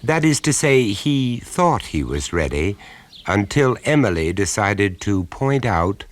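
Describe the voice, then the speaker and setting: An actor narrating a radio play as a wry, measured male storyteller of a suburban tale. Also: lowish voice, big pitch swings. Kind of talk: scripted radio drama narration. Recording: 1970s radio play recording.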